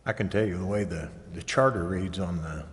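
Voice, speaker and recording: Very deep-voiced man explaining rules. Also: fairly quick. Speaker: meeting participant explaining rules. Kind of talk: procedural explanation at a public meeting. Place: indoor council chamber. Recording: reverberant chamber, faint hiss.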